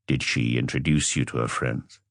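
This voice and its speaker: A man's voice: rumbling low, fairly even intonation, moderate pace, vocal fry, no echo, clean studio sound, pitched below the performer's own voice. A man voicing a patient French police inspector who asks terse, probing questions in an audiobook. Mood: probing, calm. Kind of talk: audiobook character dialogue.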